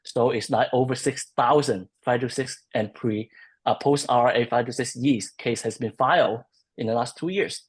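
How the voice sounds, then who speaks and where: A man talks through a webinar as a guest, his voice a touch husky and mid-pitched. He pauses often with ums and restarts his sentences as he works out his points over a video call. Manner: hesitant, many ums and restarts. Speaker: policy research guest. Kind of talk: webinar discussion.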